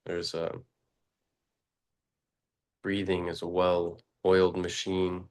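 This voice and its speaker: Slow, flat, low-voiced man guiding meditation. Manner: slow, halting, with long pauses and fillers. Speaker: meditation teacher. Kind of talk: guided meditation.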